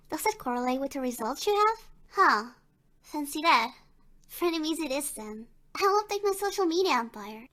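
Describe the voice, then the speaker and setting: A woman voicing a bubbly young female digital pop idol in a video game, with a perky, cute, theatrical delivery. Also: high-pitched. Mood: polite, playful. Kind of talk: video game character dialogue.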